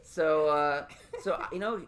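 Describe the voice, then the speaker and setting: A man's voice: a little high, takes it slowly, crowd noise. A man hosting a podcast, chatting casually with a guest in a rambling, self-deprecating, joking way. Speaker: podcast host. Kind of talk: casual interview chat.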